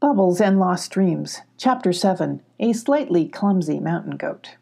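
A woman's voice: fairly low pitch, expressive, unhurried, creaky, warm tone. A woman reading a children's story aloud as an audiobook narrator.

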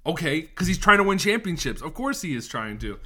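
A man's voice: a little high, very animated, fairly quick, pushed and bright. A young man with a stuffy cold voice, hosting a casual sports podcast, rambling and opinionated with lots of restarts. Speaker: podcast host.

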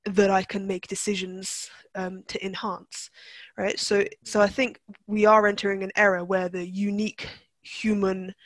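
A young woman from Turkey talks through her ideas in a relaxed interview, circling back and restarting her sentences as she thinks aloud. Her voice sits at an ordinary middle pitch. The recording is dry and close, with no room sound. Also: a little slow, dry recording. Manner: reflective, with frequent restarts and fillers. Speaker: guest, NLP practitioner. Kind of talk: conversational podcast interview.

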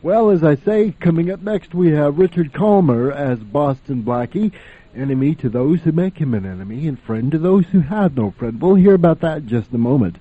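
A man's voice: fairly high pitch, expressive, moderate pace, slightly rough. A man hosting an old-time radio program, casually introducing and commenting on vintage shows. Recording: thin, phone-like sound.

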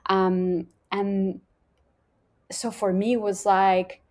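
A woman's voice: medium pitch, little pitch movement, drawn-out words, darkish tone. A woman in her mid-thirties from Colombia, with a Colombian accent, telling her personal story in a podcast conversation, reflective and searching for words. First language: Spanish. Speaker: podcast guest, holistic coach.

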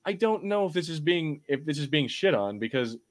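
A man hosting a casual podcast discussion, talking in a conciliatory, halting way as he reasons things through. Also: on the high side, expressive. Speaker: podcast host.

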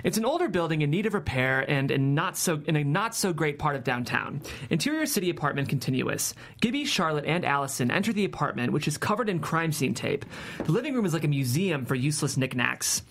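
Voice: mid-range voice, big pitch swings, brisk, gravelly, fairly bright tone. A narrator reading stage directions aloud at a table read of a TV script.

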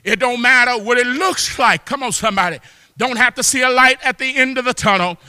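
A man preaching a fervent church sermon, exhorting with repeated exclamations of praise and often rising into shouts. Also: very high voice, a little fast, clear, resonant tone, studio quality. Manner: impassioned, often breaking into shouts. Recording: long hall reverb.

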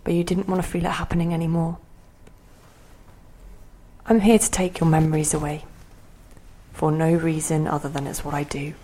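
Husky, grave female spirit. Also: deep voice, flat delivery, moderate pace, pauses often, mellow. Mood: grave, resigned. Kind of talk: audio play acting.

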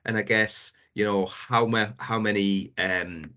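A man hosts a podcast interview, his voice a little low. He asks questions in a chatty, halting way, filled with ums. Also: steady tone, sharp tone, clean tone, muffled recording. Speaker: podcast host. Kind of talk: casual interview chat.

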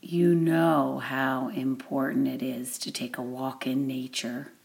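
A woman giving a guided meditation introduction as an instructor, with deliberate, measured phrasing and long pauses. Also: very deep voice, flat delivery, creaky, a little mellow.

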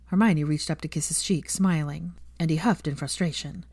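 A woman reading scripted fiction as an expressive story narrator. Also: low voice, moderate pace, breathy edge, no echo, clean studio sound.

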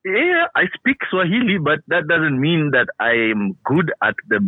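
A man's voice: on the high side, moderate pace, breathy edge, no room sound, phone-quality sound. A man from the coast, a guest in a casual interview who jokes and laughs a lot. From Kenyan coast. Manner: playful and animated, laughing often.

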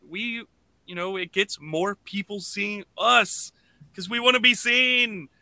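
A man hosting a podcast, chatting casually and enthusiastically in an animated way. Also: sits high, slow pace, vocal fry, no echo. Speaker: podcast host.

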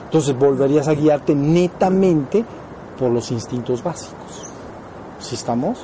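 A man's voice: fairly high pitch, unhurried, background noise. A man with a Mexican accent giving an informal spiritual lesson, explaining things and often checking that his students follow. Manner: explanatory, often checks understanding with short questions. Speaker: spiritual teacher.

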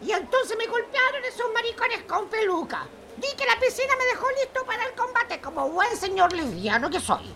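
A woman's voice: extremely high pitch, sing-song, normal speed, vocal fry, hardly any pauses, sharp tone, a little hiss or hum, heavily acted. A woman acting a randy, foul-mouthed, brazen old man in his eighties, in campy, melodramatic parody radio theatre. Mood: outraged, excited. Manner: bratty, insulting, melodramatic.

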